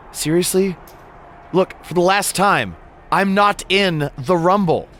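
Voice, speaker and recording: A man's voice: sits high, lively intonation, slow, a bit bright, full character voice. A man playing an affable, easily exasperated veteran wrestler bantering as a show co-host in a game cutscene.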